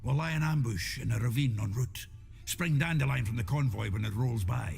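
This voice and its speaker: Gravelly, jovial gang boss. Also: male voice, mid-range voice, a little fast, a little mellow. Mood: brisk, plotting. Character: gruff, jovial male gang leader. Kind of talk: video game voice acting. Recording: music behind the voice.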